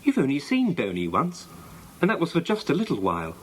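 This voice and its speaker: A man voicing a bossy, quick-tempered young girl in a children's storybook, scolding and exasperated. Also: a little high, wildly swooping pitch, rough recording. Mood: indignant. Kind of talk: children's storybook character reading.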